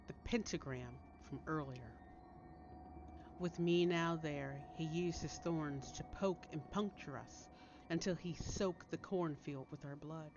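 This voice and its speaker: Slow, low-voiced woman storyteller. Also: lo-fi sound, background noise. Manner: deliberate, theatrical, dropping to hushed tones. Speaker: author reading own story. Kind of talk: dramatic scripted storytelling narration. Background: soft background music.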